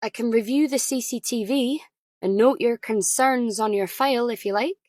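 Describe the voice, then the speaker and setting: Audiobook role: woman as no-nonsense female nurse, crisp, pleasantly firm, professionally soothing. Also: fairly high pitch, moderate pace, darkish tone, no room sound, clean studio sound, natural voice. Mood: crisp, irritated.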